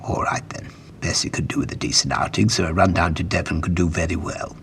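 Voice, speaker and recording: A man acting an irascible, courtly, sceptical gentleman scientist in an audio drama, speaking formally and imperiously. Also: deep voice, fairly even intonation, fairly quick, gravelly, in a whisper. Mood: courteous, businesslike. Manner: imperious, formal, old-fashioned courteous speech.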